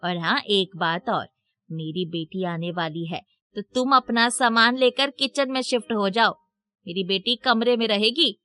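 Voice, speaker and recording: A woman's voice: medium pitch, a little slow, sharp tone. A woman voicing a heartless mother-in-law in a cartoon moral story, curt, scornful and bossy. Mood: cold, threatening.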